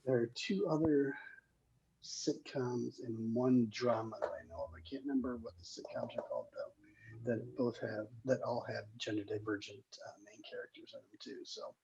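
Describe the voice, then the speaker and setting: A screenwriter hosting casually, talking in a hesitant, rambling way with a husky, gravelly voice over a dull call recording. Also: medium pitch, moderate pace, dark tone, slight background noise. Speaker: screenwriter and table read host. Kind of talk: casual chat.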